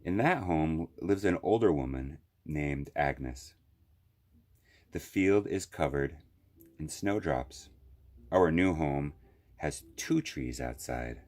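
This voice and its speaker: Slow, very low-voiced man reading a storybook. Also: expressive, a little mellow. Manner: slow, deliberate phrasing with long pauses. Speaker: library storyteller reading aloud. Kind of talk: children's picture book read-aloud.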